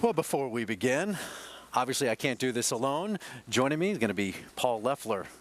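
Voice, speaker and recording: A man's voice: mid-range voice, very animated, fairly quick, music in the background. A man hosting a live televised competition from a hotel ballroom, speaking in an upbeat, projecting announcer style. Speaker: live broadcast host. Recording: loud crowd and noise in ballroom. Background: loud crowd.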